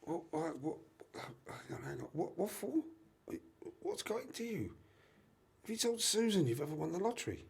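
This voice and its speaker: A man's voice: fairly high pitch, big pitch swings, normal speed, gravelly, breathy edge, heavily acted. A young British man playing a sensible, concerned friend who keeps questioning insistently, in an amateur radio play. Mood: incredulous.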